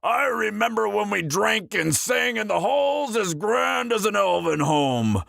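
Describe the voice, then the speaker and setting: A man voicing a gruff, boastful male dwarf who breaks into loud outbursts. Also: high voice, very animated, unhurried, no echo, clean studio sound, exaggerated voice. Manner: bombastic, gravelly.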